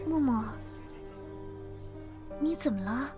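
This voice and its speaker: A woman acting a gentle, anxious young single mother in a Chinese audio drama, with a wounded air. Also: high voice, animated, takes it slowly, loud music behind the voice. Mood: hopeful, tender.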